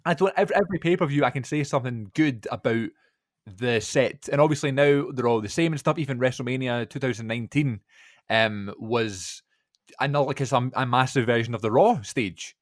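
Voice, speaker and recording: A man's voice: medium pitch, brisk, no echo, studio quality. A man, co-hosting a podcast, chatting eagerly and rambling with lots of fillers. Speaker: podcast co-host. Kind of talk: casual chat.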